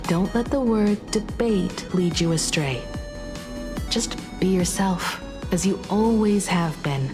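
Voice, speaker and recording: A calm, wise woman. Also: lowish voice. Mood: reflective. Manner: calm, reflective and slow. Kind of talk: video game voice acting. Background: game music.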